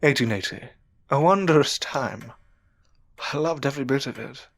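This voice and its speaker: A man acting a grieving, ashamed Victorian widower in an audio drama, speaking in a melancholy, halting way with sighs. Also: mid-range voice, fairly bright tone. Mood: mournful. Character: grieving, ashamed Victorian widower in his twenties or thirties, self-reproaching. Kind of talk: audio drama acting with first-person narration.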